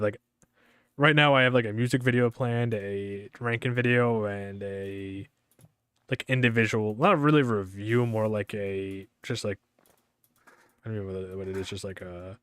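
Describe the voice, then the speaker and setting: A young man streaming a game, talking in a casual, rambling way with a monotone delivery, full of fillers and restarts. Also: on the low side, normal speed, clear, resonant tone, no echo. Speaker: gaming streamer.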